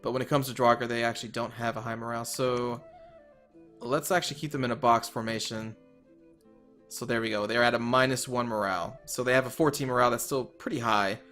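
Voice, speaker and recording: Man, mid-pitched, a bit hoarse; casual game commentary, thinking out loud, faint music behind. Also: fairly even intonation, brisk. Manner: thinking aloud, trailing off, self-correcting. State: slightly hoarse, losing his voice. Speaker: let's player.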